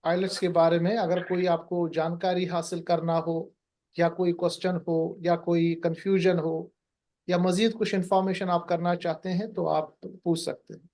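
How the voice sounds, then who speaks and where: A man's voice: high-pitched, little pitch movement, normal speed, a little mellow. A man hosting an online webinar Q&A, speaking politely and formally and mixing English words into his talk, heard over a video call. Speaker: webinar host.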